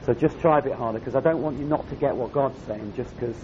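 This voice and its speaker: A man with a croaky voice, giving a conversational sermon to young people at a camp. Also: quick pace. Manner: conversational, emphatic repetition. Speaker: camp speaker. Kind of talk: youth sermon. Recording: dull lo-fi recording with faint rumble.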